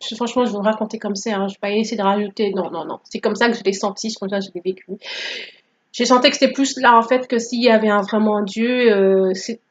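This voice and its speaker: A woman's voice: medium pitch, fairly even intonation, fast talker, highs cut off, slight background noise. A woman in her thirties from Guadeloupe, whose first language is English, telling her story in a playful, joking vlog. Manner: playful, joking, code-switching. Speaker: vlogger. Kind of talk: casual testimony vlog.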